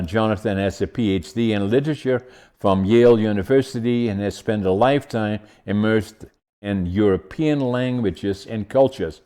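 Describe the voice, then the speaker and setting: A man's voice: fairly low pitch, mellow. A man hosting an interview podcast, chatting casually and often restarting his questions as he goes. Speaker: podcast host.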